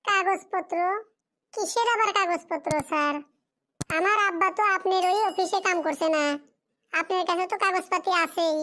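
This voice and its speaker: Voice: very high-pitched, steady tone, moderate pace, bright, forceful tone. A performer voicing a poor, humble village child in a cartoon drama, pleading in a rural Bengali dialect. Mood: puzzled, deferential. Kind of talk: amateur cartoon drama dialogue.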